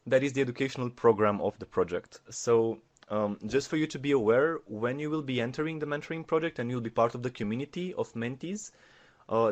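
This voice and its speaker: A man at an ordinary middle pitch talks casually as a podcast guest. He explains things plainly and speaks straight to the listeners. His tone stays conversational. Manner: explanatory, addresses listeners directly. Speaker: podcast guest, former mentee and coordinator.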